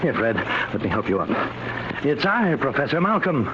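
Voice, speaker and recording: A man's voice: mid-range voice, sing-song, normal speed, lightly acted. A man playing a kindly, solicitous fatherly scientist in an old radio drama. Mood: anxious, relieved. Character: fatherly male professor, anxious, kindly scientist. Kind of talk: 1940s-50s American radio drama acting. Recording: muffled, noisy vintage radio recording.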